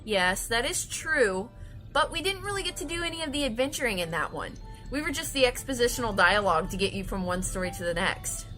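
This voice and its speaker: An eager young female sidekick, played by a woman in a comedy audio drama. Her voice is very high and bright, with an insistent, outspoken delivery. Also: lively intonation, brisk, faint music behind, low-quality recording. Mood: pleading, aggrieved. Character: eager, forthright young female companion on adventures.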